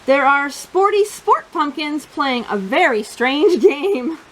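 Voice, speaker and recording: Animated, high-pitched woman reading to children. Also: slow pace, low-quality recording. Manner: playful, sing-song, expressive, addressing children directly. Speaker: children's storytime host. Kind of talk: animated picture-book reading for young children.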